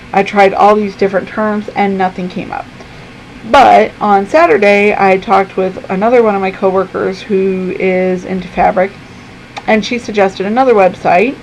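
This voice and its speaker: A woman who is losing her voice talks casually to her camera from her room at home, with a gravelly, creaky edge. She chats along with frequent ums, and faint air-conditioning hum sits behind her. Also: mid-range voice, darkish tone, low-quality recording. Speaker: vlogger.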